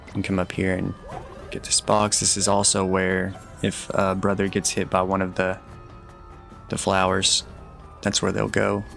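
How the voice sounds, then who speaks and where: A young man talks through a video game walkthrough in an easygoing, instructive way, now and then poking fun at his own mistakes. His voice is low and gravelly, with a flat, level delivery. Faint game music plays behind him. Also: moderate pace. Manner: easygoing, instructive, slightly self-deprecating. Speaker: gameplay guide commentator. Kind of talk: relaxed walkthrough commentary.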